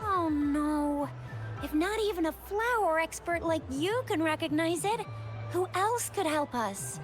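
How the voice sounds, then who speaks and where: Spirited young heroine, high voice, bouncy intonation, theatrical and cheeky, video game dialogue. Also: female voice, animated, a little slow, music in the background. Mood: dismayed.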